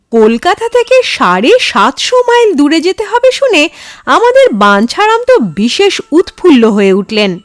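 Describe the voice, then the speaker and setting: A woman reading a story aloud as narrator, in a measured, expressive storytelling manner. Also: a little high, no room sound. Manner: measured, dramatic storytelling delivery. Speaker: storyteller, channel host.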